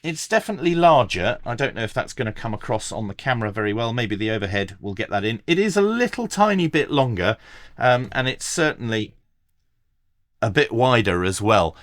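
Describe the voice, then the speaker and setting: A man's voice: fairly low pitch, fairly bright tone, no echo. A man giving a casual, explanatory product review in a conversational, understated way. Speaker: radio equipment reviewer.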